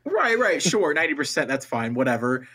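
Kinda higher voiced guy on a podcast, opinionated, sounds fed up, chatting casually. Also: sing-song, brisk, sharp tone, breathy edge. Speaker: podcast co-host. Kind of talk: casual group discussion.

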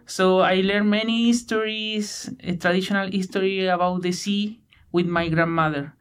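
A man in his thirties from Chile with a Chilean Spanish accent, answering interview questions in halting English with many fillers and restarts. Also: sits high, steady tone, a bit bright, smooth. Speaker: guest, PhD student.

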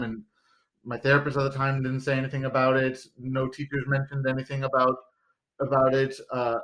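A man in his thirties with a fairly loud voice, chatting casually and reflecting back on his own experiences. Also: medium pitch, low-quality recording. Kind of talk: casual chat.

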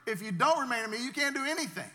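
Male pastor, high bright tone, earnest sermon delivery, church hall reverb. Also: animated, very fast. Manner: earnest preaching with repeated words and restarts.

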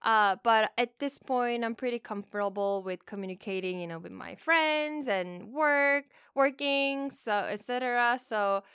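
A woman's voice: fairly high pitch, unhurried, hardly any pauses, a bit bright, clean tone, no room sound, studio quality. A young adult woman from Korea with a Korean accent, co-hosting a podcast in casual chat, laughing often and hesitating with fillers. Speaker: podcast co-host.

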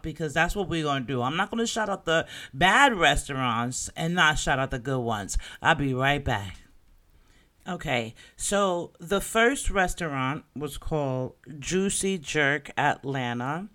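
A man's voice: a little high, sharp tone. A man hosting a solo podcast, talking in a loose, conversational way and often checking in with his listeners. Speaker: podcast host. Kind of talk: casual solo commentary.

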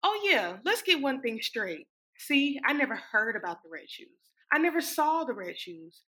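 A brash young small-town dancer, played by a woman tells her story as a stage monologue. Her voice is fairly high and bright, with a forceful edge. She is sassy, sardonic and happy to swear. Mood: sardonic, indignant. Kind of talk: dramatic stage monologue reading.

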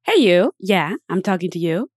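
A woman's voice: fairly low pitch, big pitch swings, normal speed, dry recording. Upbeat woman hosting a podcast, close dry sound. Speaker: podcast host. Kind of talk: podcast intro and interview chat.

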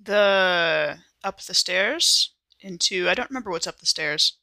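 A woman playing in a tabletop roleplaying game, talking casually and haltingly as she thinks aloud. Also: lowish voice, lively intonation, sharp tone, no echo. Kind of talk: casual in-character game chat.

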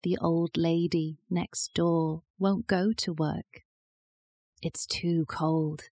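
A woman reads poetry extremely slowly, leaving each phrase space to settle. Her voice is soft-edged and mellow, slightly low in pitch. The sound is dry and close, with no room echo. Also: level tone, husky. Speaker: poetry reader. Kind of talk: slow, measured poetry recitation.

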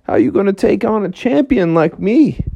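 Storyteller voicing a boastful frog champion, bragging, swaggering, lively swoops, close dry sound. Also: medium pitch, moderate pace, vocal fry, breathy edge, no echo, dull audio, voice pushed up. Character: boastful male frog wrestling champion, brash.

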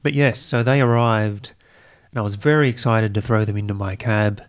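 Male co-host, nasal with a head cold, relaxed and wry. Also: lowish voice, normal speed, clean tone, no room sound. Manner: dry, teasing humor. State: stuffy nose from a cold. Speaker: podcast co-host. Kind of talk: casual chat.

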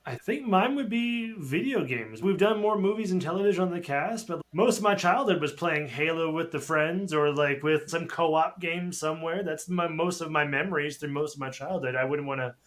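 A man's voice: fairly high pitch, normal speed, hardly any pauses, a bit bright. A man chatting casually and informally as a guest on a podcast. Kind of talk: casual chat.

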